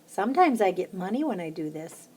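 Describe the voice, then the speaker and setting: Brief: adult woman, children's book reader, lively storytime delivery, mid-range voice. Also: brisk, husky. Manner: lively, lifts her voice high for the children's chants. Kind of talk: storybook read-aloud.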